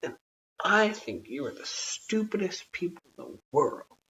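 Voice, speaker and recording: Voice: medium pitch, very animated, a little slow, a bit bright, recorded from a distance, muffled recording, a little hiss or hum, voice pushed up. An adult storyteller voicing a small, outspoken girl, innocent and indignant, in storybook dialogue. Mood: earnest, defensive.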